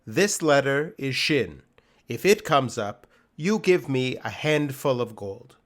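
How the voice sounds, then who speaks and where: A man's voice: mid-range voice, expressive, slow. A man playing a wily, unflappable trickster in a dramatic storybook reading, teasing and casual with mock innocence. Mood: sly, coaxing.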